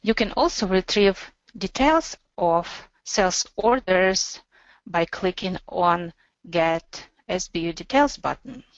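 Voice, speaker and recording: A woman narrating an instructional software demo as a webinar presenter, explaining in a measured, step-by-step way. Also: fairly low pitch, lively intonation, no echo, highs cut off.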